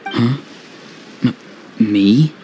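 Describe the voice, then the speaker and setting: A man playing a serious, pensive young warrior in a video game, talking in a reserved, deliberate way. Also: mid-range voice, warm tone, a little hiss or hum. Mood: hesitant. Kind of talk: video game cutscene acting.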